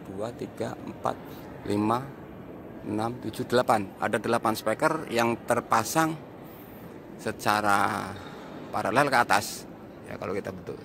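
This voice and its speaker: Guy with a low gravelly voice doing a walkaround review, kinda halting, clear background noise. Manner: halting, explanatory, counting and pointing things out, repeats himself. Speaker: audio reviewer and vlog host. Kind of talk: walk-through review commentary, improvised. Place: inside a large mosque, walking around.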